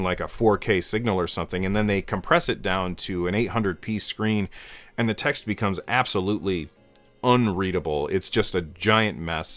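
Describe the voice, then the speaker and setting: A man in his forties, hoarse and stuffed up from a cold, hosting a gaming news show in a chatty way with many fillers. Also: fairly low pitch. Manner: gestures with his hands while talking. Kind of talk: casual video commentary. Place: home game room lit with colored lights.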